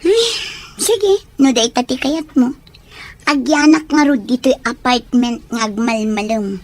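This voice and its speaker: An actress playing a bored, questioning young wife kept indoors in an Ilocano radio drama. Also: female voice, on the high side, a little slow. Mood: questioning, pleading.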